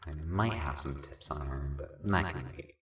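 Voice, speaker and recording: A man playing a laid-back, supportive winged sprite in an amateur audio drama, with a casual, slangy, drawn-out way of talking. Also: rumbling low. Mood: wry. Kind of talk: amateur fan-fiction audio drama acting. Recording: roomy, echoing voice track.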